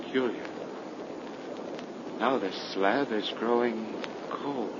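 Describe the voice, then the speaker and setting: An actor playing a brash, sceptical young man, brisk and slangy, in a 1930s radio horror drama. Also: lowish voice. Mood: puzzled, dismissive. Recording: noisy, dull 1930s radio recording.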